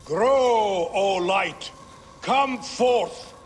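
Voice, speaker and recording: Incantatory seer, high voice, extremely slow and grand, reverberant hall with music. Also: male voice, slight background noise. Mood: ritual fervour. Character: solemn mystic seer chanting ritual invocations. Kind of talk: dramatic video game acting.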